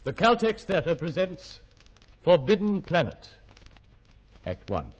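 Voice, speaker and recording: A man announcing a radio theatre in a formal, declamatory 1950s scripted style, with a slightly husky voice. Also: a little high, wildly swooping pitch, slow, highs cut off.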